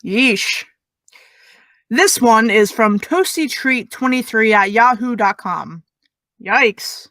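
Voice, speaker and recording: Woman voicing a sarcastic teen podcaster, lively pitch, dry close sound. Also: slow, no room sound, heavily acted. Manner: sarcastic, teasing. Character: snarky teen girl podcaster reading mail. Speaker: podcast co-host.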